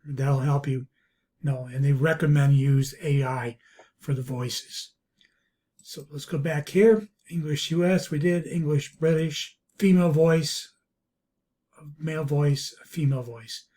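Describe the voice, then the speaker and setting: A man narrating a software review walkthrough in halting, piecemeal phrases. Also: on the high side. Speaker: product reviewer. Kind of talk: screen-recorded software walkthrough. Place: voice-over recorded over a screen capture.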